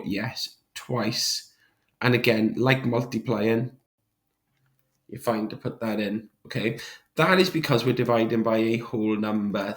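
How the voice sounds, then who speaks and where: A male maths teacher with a hoarse voice from a sore throat, talking students through a revision sheet step by step. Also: lowish voice. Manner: step-by-step explanations, checking with "right?". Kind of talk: recorded revision walkthrough.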